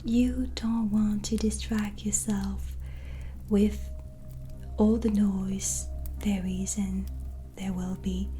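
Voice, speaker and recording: Whispery, slow-talking woman in soft ASMR. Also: medium pitch, slight background noise. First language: not English. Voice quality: breathy, whispered. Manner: gentle, unhurried, soothing. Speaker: ASMR roleplayer giving a tarot reading. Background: soft relaxing music.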